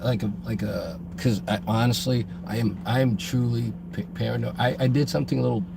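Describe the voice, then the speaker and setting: Hoarse man, podcast co-host, gravelly voice, halting talk, noisy background. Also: mid-range voice, steady tone. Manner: halting, many restarts and repeated words. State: hoarse, getting over a cold. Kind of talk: casual podcast chat.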